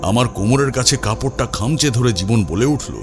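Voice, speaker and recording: A man's voice: mid-range voice, animated, moderate pace, over music. A man narrating a scripted first-person horror story in a dramatic, suspenseful manner.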